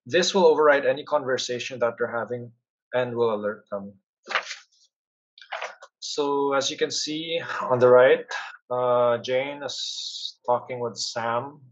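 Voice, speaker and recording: A man with a slightly husky, mid-pitched voice walks through a software demo step by step. His narration is instructional and explanatory, and the recording is a little dull. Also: measured pace. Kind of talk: instructional screen-share narration.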